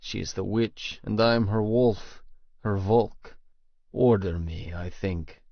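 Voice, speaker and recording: A man reads first-person romance fiction in a creaky, gravelly voice. His delivery is slow and deliberate, in a dry, slightly muffled recording. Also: on the low side, dry recording. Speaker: audiobook narrator.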